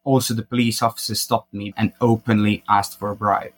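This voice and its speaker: A young man from Croatia, a podcast guest, chatting casually and telling an animated travel story, laughing at himself and acting out quotes. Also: fairly low pitch, a little fast.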